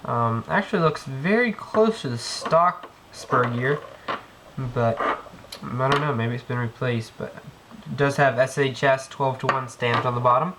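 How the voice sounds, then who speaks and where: A man's voice: medium pitch, a little slow. A man with a cold giving a casual hands-on tutorial, rambling with many ums and restarts as he works. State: has a cold. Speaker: airsoft gearbox tech demonstrator. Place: indoor room, work on floor. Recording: noisy home video with clicks.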